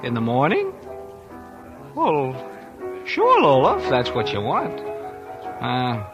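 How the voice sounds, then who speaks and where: A man's voice: medium pitch, huge pitch swings, slow pace, dull audio, music in the background, exaggerated voice. An actor playing a brash, girl-chasing young sailor in a 1940s radio play, swaggering and laughing as he boasts. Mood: coaxing, deflated. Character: brash young male sailor on shore leave, a skirt-chaser.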